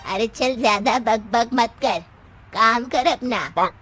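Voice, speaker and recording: Woman doing a sassy bossy old saas from a cartoon tale, high pitched, takes her time, scolds everyone. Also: slow pace, over loud music. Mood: sassy, scolding. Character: feisty, bossy, fearless elderly mother-in-law. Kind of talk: Hindi animated story dialogue.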